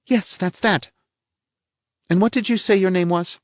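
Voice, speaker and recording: A man doing a haughty middle-aged lady with a high, shrill, affected voice, all huffy gentility and wounded pride. The sound is dry and a bit dull, like an old lo-fi reading. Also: a little slow, no echo. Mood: cold. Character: haughty prim middle-aged woman, indignant and theatrical.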